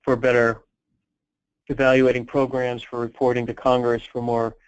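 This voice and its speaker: A man presenting on a conference call, answering questions in an unscripted, halting, explanatory way. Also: mid-range voice, completely flat delivery, clean tone. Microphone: telephone conference call. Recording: dull, lo-fi conference call line.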